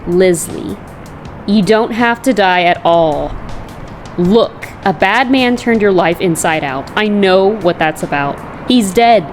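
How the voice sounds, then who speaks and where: A woman voicing a young female vigilante in a comic dub, wry and compassionate, reflecting in inner narration. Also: mid-range voice.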